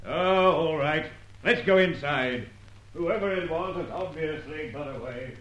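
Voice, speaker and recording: An actor playing an irritable, blustering villain, master of the house, barking orders in an old radio drama. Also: male voice, on the high side, unhurried, a bit bright, muffled recording. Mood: irritated.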